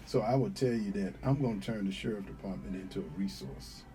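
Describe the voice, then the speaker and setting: A man's voice: fairly low pitch, brisk, warm tone, poor audio. A middle-aged man from North Carolina, a sheriff candidate answering interview questions earnestly with many fillers.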